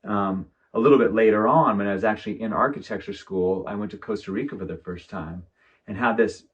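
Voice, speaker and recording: A man's voice: fairly low pitch, brisk, highs cut off. A man, an architect guest, talking thoughtfully in a rambling way with many fillers in a casual conversation. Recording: distant from the mic.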